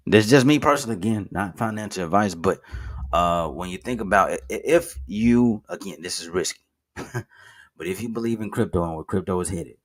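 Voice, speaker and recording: A man in his thirties with a very low, deep voice talks casually through a finance walkthrough. He rambles, restarts his sentences and corrects his own numbers. He speaks informally and keeps addressing his viewers as "guys". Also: fairly bright tone. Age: 30s. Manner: rambling, self-correcting, with frequent fillers and restarts. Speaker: finance video host. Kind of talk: casual screen-share commentary.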